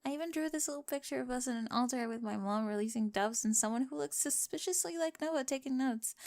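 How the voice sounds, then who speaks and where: A woman's voice: on the high side, vocal fry. A woman playing a quirky, data-obsessed young bride in a scripted ASMR roleplay, speaking technical phrases with earnest, adoring precision. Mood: tender, shy. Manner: precise, earnest recital of technical wording with giggly excitement.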